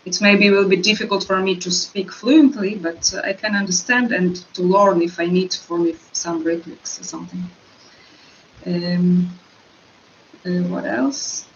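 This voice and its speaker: A woman with a Russian accent, her native tongue Russian, answering interview questions hesitantly and often pausing with fillers to find words in English. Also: on the low side, fairly even intonation, highs cut off, a little hiss or hum. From Russian-speaking. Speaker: actress guest.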